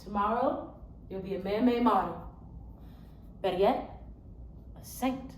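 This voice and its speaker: A woman acting a dramatic stage monologue as a weary, confessional angel who was once a poor woman, speaking slowly and with deep feeling. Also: lightly acted. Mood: wry, challenging. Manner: slow, heartfelt, deliberate delivery. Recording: roomy echo, faint background noise.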